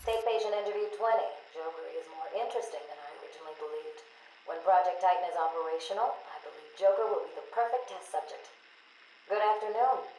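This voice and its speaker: A woman playing an earnest, clinical psychiatrist in a video game, measured and probing in a professional way. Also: on the low side, warm tone, natural voice. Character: earnest, clinical female psychiatrist, composed but pressured. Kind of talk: video game voice acting, clinical session tapes. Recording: roomy taped interview with faint noise.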